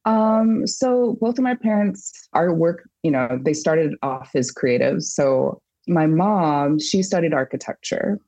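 A woman's voice: low voice, animated, slow, hardly any pauses, warm tone, no room sound. A woman talking casually as an interview guest, chatty, with many fillers and restarts. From Japan. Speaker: guest artist. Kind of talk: casual conversation.

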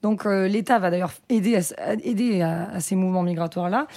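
A woman from the south of France who chats quickly and informally in a lively, colloquial way. She speaks as an easygoing podcast co-host. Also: mid-range voice. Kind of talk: casual chat.

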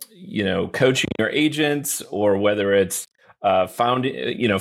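Low, gravelly male interview guest. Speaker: company product executive, podcast guest. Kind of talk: conversational explanation in an interview.

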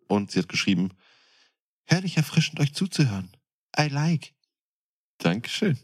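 A man's voice: medium pitch, very animated, moderate pace, husky. A man co-hosting a podcast, chatting casually in a playful, joking way. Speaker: podcast co-host. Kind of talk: casual chat.

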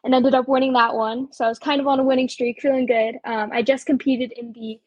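A woman's voice: high-pitched, flat delivery, a little fast, a little mellow, husky, dry recording, dull audio. A seventeen-year-old woman from Colorado, a young auctioneer chatting upbeat in an interview, with frequent ums and small laughs. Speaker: auctioneer guest. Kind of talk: casual interview chat.

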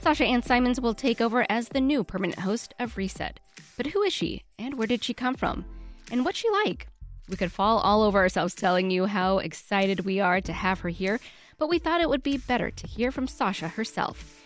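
A woman's voice: fairly high pitch, lively intonation, fairly quick, soft background music. A woman hosting a radio show, presenting and interviewing in a conversational broadcast style. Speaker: radio host and news anchor.

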